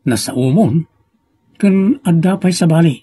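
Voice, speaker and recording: A man's voice: fairly high pitch, expressive, a little slow, dark tone, no echo. An actor with a northern Philippine accent playing a suspicious, gossiping man in an Ilocano comedy drama. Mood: suspicious, teasing. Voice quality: husky, creaky. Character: suspicious, gossiping man uneasy about friend's father. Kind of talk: Ilocano comedy radio-style drama acting.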